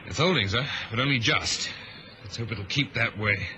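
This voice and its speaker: Tense, brisk ship's officer. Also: male voice, medium pitch, bright, forceful tone, dull audio. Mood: tense, dutiful. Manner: brisk, deferential to superiors. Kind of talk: vintage radio drama acting. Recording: noisy vintage radio drama recording.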